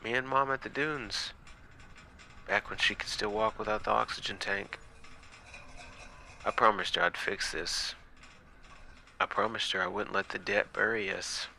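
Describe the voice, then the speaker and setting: A man playing an exhausted, frightened night watchman recording halting horror-story log entries, dramatic and sometimes breaking into whispers. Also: on the low side, creaky, pushed and bright, full character voice. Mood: weary, bitter. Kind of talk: first-person horror audio log narration.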